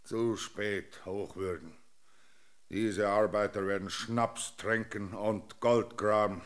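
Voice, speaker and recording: An actor playing a weary, disillusioned old captain in a radio drama, speaking with resignation and trailing toward a whisper. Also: male voice, lowish voice, fairly even intonation, slow pace. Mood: bitter, resigned.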